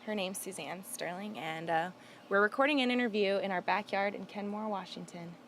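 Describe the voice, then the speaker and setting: A woman's voice: mid-range voice, brisk, a bit bright, a little hiss or hum. A woman in her twenties who interviews her father in a backyard, sounding upbeat and playful. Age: 20s. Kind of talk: casual family interview. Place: backyard outdoors.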